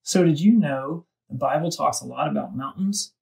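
A man's voice: on the high side, moderate pace, gravelly, a little mellow. A man reading a scripted devotional for children, recorded at some distance from the microphone. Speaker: kids' devotional reader.

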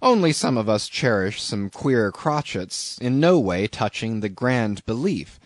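A man voicing a quick-witted young sailor in a storybook, earnest, cheeky and persuasive. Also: medium pitch, very animated, dry recording, clean studio sound. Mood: earnest persuasion.

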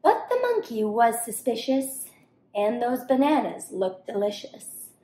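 A young woman reading a rhyming children's story aloud expressively and deliberately. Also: on the high side, big pitch swings, mellow. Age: young adult. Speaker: storybook reader. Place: home kitchen-dining room, indoors. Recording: roomy indoor echo.

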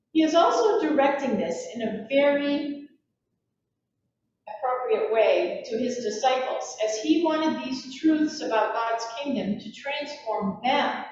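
Older woman, fairly high voice, measured sermon delivery, long church reverb, slightly muffled. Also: a little mellow. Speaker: Episcopal preacher. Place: large church, at a pulpit. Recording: echoey church from far off, muffled, noisy.

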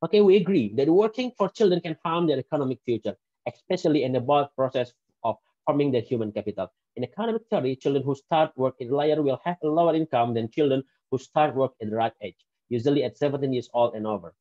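A man's voice: mid-range voice, lively intonation, fast talker, warm tone, dry recording. A man in his thirties from Indonesia, speaking English with an Indonesian accent, giving a recorded conference presentation with some careful restarts. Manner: careful non-native English, occasional restarts. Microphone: headset. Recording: dull lo-fi dry sound.

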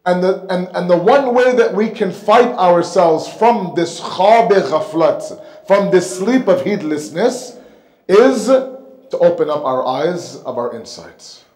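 A man delivers a religious lecture in a rather high voice. He speaks emphatically, repeating phrases for weight and posing rhetorical questions. The sound is noticeably echoey, picked up on a microphone in a hall. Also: lively intonation, slow, a little mellow. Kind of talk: sermon lecture. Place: indoor mosque hall, seated at the pulpit. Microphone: microphone on a stand close in front.

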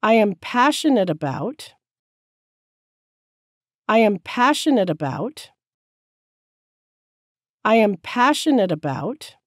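A woman teacher reading short sentences slowly and clearly, each one said several times for learners to repeat. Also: medium pitch, sing-song, no room sound, clean studio sound. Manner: careful, clear enunciation. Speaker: English teacher. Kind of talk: slow repeated drill sentences.

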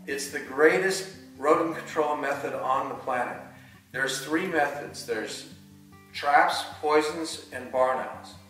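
A man's voice: a little high, a bit bright. Older man explaining plainly in a roomy room. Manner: measured, matter-of-fact explaining. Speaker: falconer and barn owl box installer. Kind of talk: scripted educational narration. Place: living room by a fireplace. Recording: roomy sound with faint music.

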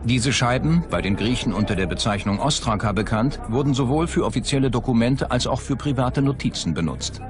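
A man reading scripted documentary narration in a measured, factual way. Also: medium pitch, animated. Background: background music.

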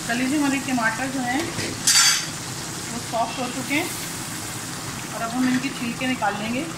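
A woman with a Pakistani Urdu accent, calmly talking through a cooking demonstration step by step in her home kitchen. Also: sits high, unhurried. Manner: calm step-by-step explaining. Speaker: cooking channel host. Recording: echoey kitchen with sizzling noise.

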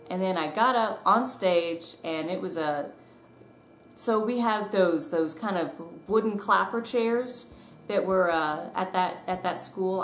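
A woman's voice: lowish voice, a bit bright. A woman in her thirties from the southern US, chatting casually and self-deprecatingly as she tells a personal story to camera. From southern US, Tennessee and Georgia. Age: 30s. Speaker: author and vlogger. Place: small living room at home, indoors. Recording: echoey room with background noise.

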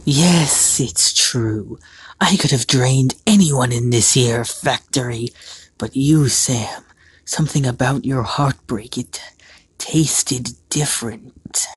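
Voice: mid-range voice, slow, breathy edge, dry recording, voice pushed up. A storyteller voicing a taunting, theatrical phantom that gloats and hungers for misery. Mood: gloating.

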